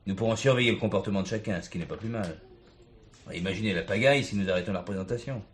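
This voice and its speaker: A man's voice: lowish voice, very fast, close to an everyday voice. A man playing an authoritative, methodical police chief in a French detective stage comedy. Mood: measured authority.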